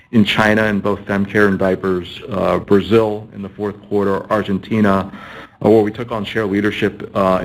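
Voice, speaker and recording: A man's voice: low voice, poor audio. A man, a company executive, answering analyst questions on an earnings call and often repeating words as he thinks.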